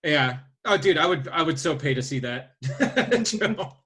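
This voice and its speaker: Bright, high-voiced man on a podcast. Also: expressive, a little slow. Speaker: podcast co-host. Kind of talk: casual podcast chat.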